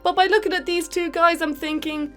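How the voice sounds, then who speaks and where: A woman's voice: very high voice, fairly even intonation, fairly quick, sharp tone. A woman with a British accent giving a playful, sarcastic video game review, speaking directly to viewers as host. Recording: background music under voice. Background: music.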